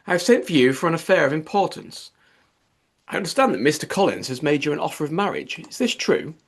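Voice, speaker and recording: A man voicing a calm, ironic middle-aged gentleman father in an audiobook, speaking formally with measured deadpan. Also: fairly high pitch, voice pushed up. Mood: grave, authoritative.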